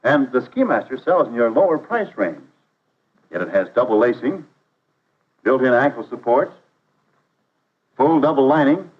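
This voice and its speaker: A man's voice: medium pitch, sing-song, moderate pace, pauses often, natural voice. An actor playing an earnest, polite, persuasive travelling salesman in a 1950s training film, with courteous, confident patter. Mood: eager pitching. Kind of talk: 1950s instructional film acting. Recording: dull vintage 1950s film soundtrack.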